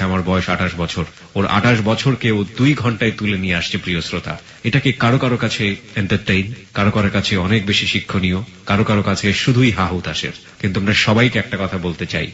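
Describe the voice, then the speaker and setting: Low-voiced male host, slightly muffled. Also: fairly bright tone. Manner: measured, addresses listeners directly, mixes in English words. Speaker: host of a life-story show. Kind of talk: hosting a personal life-story interview.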